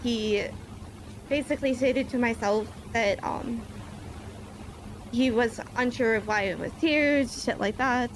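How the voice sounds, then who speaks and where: A woman plays a police officer giving testimony in a roleplay courtroom, using stiff, formal police-report wording. Her voice sits fairly high. Clear noise runs under a game voice-chat recording. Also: slow pace, long pauses, rough recording. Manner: formal police-report phrasing, leans on "basically" and "myself".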